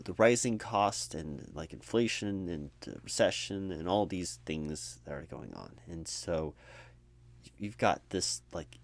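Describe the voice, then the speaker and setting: A man with a very low voice and heavy vocal fry plays a recruiter in a chatty ASMR roleplay. He rambles on with little energy, sounding apathetic and jaded, with a sardonic edge. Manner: rambling, sardonic asides mimicking corporate talk. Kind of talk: conversational ASMR roleplay monologue.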